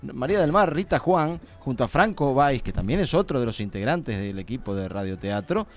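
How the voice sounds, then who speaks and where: A man's voice: mid-range voice, lively intonation, a little fast. A man with an Argentine accent hosting a live radio show in a casual, rambling, colloquial chat.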